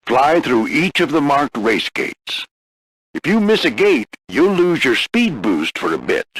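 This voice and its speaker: An older American man voicing a gruff veteran warplane flight instructor in a video game, husky and folksy, coaching firmly but with encouragement. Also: mid-range voice, big pitch swings, measured pace, a bit bright, dry recording, exaggerated voice, poor audio. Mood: stern instructing. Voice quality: gravelly, husky. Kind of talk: scripted video game character lines.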